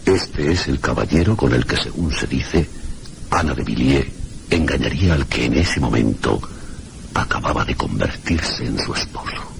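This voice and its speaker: An actor with a Castilian Spanish accent playing a mysterious, scholarly gentleman guide in a radio drama, speaking formally and deliberately in a gravelly voice. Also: expressive, exaggerated voice. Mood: solemn, insinuating. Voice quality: very deep, gravelly. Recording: noisy radio drama recording.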